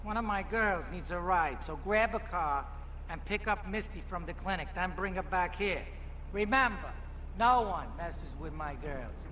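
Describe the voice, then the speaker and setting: A man's voice: high voice, animated, measured pace, bright, forceful tone, in a large hall, slight background noise, full character voice, poor audio. A man playing a sleazy, menacing mobster club boss in a video game, bossy and streetwise, giving orders. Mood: bossy, businesslike. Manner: bossy, streetwise wise-guy talk. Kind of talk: video game cutscene acting.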